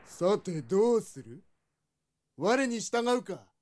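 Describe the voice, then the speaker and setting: An actor playing an arrogant old dark sorcerer in a fantasy audio drama, speaking in archaic, pompous, gloating menace. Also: male voice, sits high, sing-song, slow pace, full character voice. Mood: gloating, menacing.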